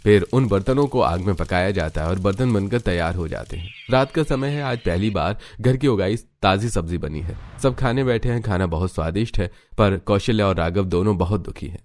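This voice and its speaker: A man's voice: low voice, normal speed, hardly any pauses, a little mellow. A man narrating a scripted cartoon story as its storyteller.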